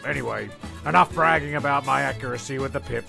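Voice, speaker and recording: A man's voice: medium pitch, fast, gravelly, music in the background. A man playing a rambling, exasperated narrator of a comic castaway radio play. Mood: wistful rambling.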